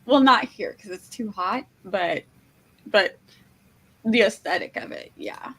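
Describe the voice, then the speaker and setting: A woman losing her voice, hosting a casual stream from home, talking softly and laughing often. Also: medium pitch, a little slow, breathy edge, poor audio. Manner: soft-spoken, laughs often. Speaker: livestream host. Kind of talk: casual chat on a writing stream.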